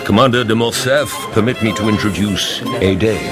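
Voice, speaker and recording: Radio drama count male actor: low voice, formal, brooding, measured, quietly menacing with vengeance. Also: loud music behind the voice, lightly acted. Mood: courtly, guarded. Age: middle-aged. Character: brooding, vengeful middle-aged count, courtly, controlled, secretly haunted.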